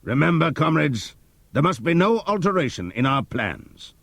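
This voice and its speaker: A man's voice: a little high, sing-song, normal speed, vocal fry, a bit bright, no room sound, pitched above the performer's own voice, exaggerated voice. Man playing a wrathful, declaiming boar dictator. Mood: rallying, stern. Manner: declamatory, stern, emphatic oratory. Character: commanding, wrathful boar dictator rallying his animals.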